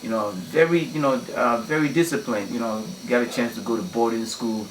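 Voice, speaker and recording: A man's voice: mid-range voice, fairly quick. Talkative man from Ghana, echoey room. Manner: talkative, many "you know" fillers, laughs often. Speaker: guest, community activist. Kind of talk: radio interview storytelling. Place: radio studio. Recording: roomy, distant mic with faint noise.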